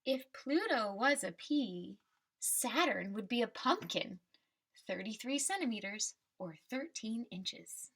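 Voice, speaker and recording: A woman with a high, breathy-husky voice reads aloud to children as a teacher would. Her pitch moves in an animated way and her pace is unhurried. She explains things simply and deliberately. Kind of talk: children's picture book read-aloud and simple lesson.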